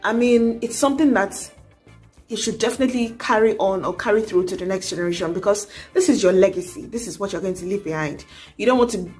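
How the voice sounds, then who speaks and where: A woman's voice: medium pitch, quick pace, a little mellow, soft background music. A young adult woman, talking earnestly to camera in a casual advice vlog, urging and coaxing with many asides. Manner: earnest, exhorting, frequent "you know". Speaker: vlogger.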